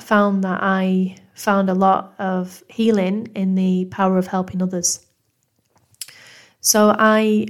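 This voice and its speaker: Woman, thirties, Manchester accent, podcast host, gentle and reflective, mid-pitched voice. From England. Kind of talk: personal podcast monologue.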